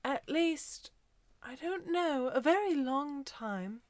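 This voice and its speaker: A high, girlish voice with an airy, wondering softness, far from the reader's own tone, as a male narrator puts her on. She is a dreamy but earnest young girl in a storybook, drawing out her words gently. The sound is dry and close, with no room around it. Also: lively intonation, slow, no echo, full character voice.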